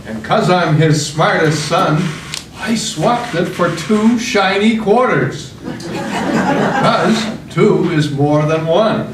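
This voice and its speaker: A man playing a naive, proud little boy who boasts in a simple-minded way, reciting comic verse. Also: sits high. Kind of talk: comic poem recitation at readers theater. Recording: roomy, distant, noisy live stage recording.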